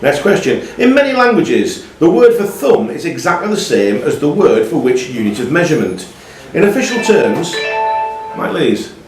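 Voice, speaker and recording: Male quiz host, animated intonation, reading out questions, roomy and slightly distant pub recording. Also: a little high, normal speed, faint music behind. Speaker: quiz master. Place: pub room during a live quiz.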